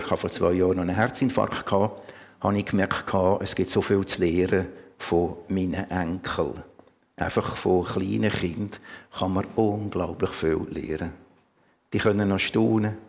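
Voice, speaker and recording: Swiss German pastor in an echoing hall. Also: male voice, on the low side. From Canton of Lucerne, Switzerland. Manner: earnest, conversational, with many fillers. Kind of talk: sermon.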